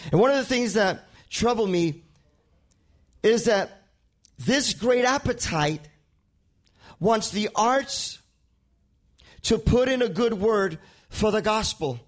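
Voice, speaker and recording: A man's voice: sits high, expressive, slow pace, long pauses. A male pastor, a native Spanish speaker with a Spanish accent, preaching a sermon and often repeating words as he builds a point.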